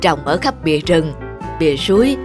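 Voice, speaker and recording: Gentle, frail old grandmother. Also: low voice, big pitch swings, moderate pace. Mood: tender, grateful. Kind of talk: animated fairy tale dubbing. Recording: music under the voice.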